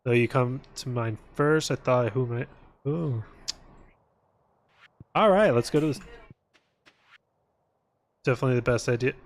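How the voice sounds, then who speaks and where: A man's voice: medium pitch, unhurried, long pauses. A man giving casual, rambling gaming stream commentary, thinking aloud and joking as he plays. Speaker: video game livestreamer.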